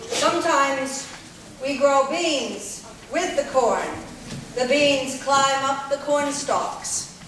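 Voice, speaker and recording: Puppet show villager a woman performing: grave, unhurried, plain short statements, picked up far from the microphone. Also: high-pitched, a bit bright, full character voice. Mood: solemn, measured. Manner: simple, measured short statements. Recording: echoey hall, distant mic, faint noise.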